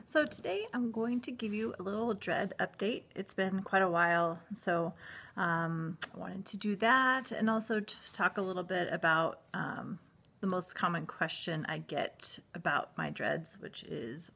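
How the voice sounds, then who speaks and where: A woman's voice: mid-range voice, vocal fry, fairly bright tone. An American woman in her thirties talking casually and a little hesitantly in a personal vlog, recorded on a phone at home. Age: 30s. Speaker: vlogger. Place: indoors at home, living room. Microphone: handheld phone at arm's length.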